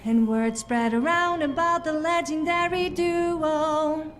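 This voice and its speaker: A woman's voice: sits high, fairly even intonation, takes it slowly, faint music behind. A woman playing a cheeky, playful young female adventurer in a video game. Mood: jaunty singing. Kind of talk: video game character dialogue.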